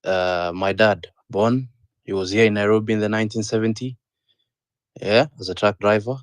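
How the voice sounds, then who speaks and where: A man in his thirties with a Kenyan accent, speaking earnestly in a panel discussion, often pausing on "uh" and repeating his points. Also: fairly low pitch, steady tone, dry recording. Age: 30s. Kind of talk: impassioned discussion, mixing English and Swahili.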